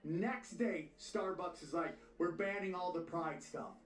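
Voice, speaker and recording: A man's voice: high voice, a little slow. Distant, echoey man telling a story. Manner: casual, conversational retelling. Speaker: podcast host. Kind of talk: podcast storytelling. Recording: echoey, distant playback of a podcast clip.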